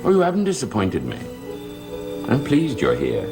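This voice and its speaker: A suave, scheming gentleman of a gothic soap opera. His voice is low and swings widely in pitch, formal and theatrical. Music plays under the old TV soundtrack. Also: wildly swooping pitch, normal speed, vocal fry, heavily acted. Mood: courteous, charming. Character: courteous, insistent, scheming gentleman of a gothic household.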